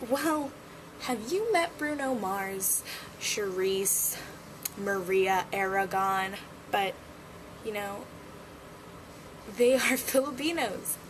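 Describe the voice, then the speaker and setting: A young woman chatting casually in a home vlog, playful and giggly. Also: medium pitch. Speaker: vlogger. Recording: noticeable background noise.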